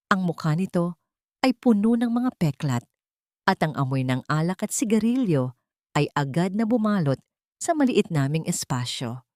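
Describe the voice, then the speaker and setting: A woman's voice: on the low side, darkish tone, dry recording, studio quality. A woman reading a dramatic first-person story as a scripted narrator.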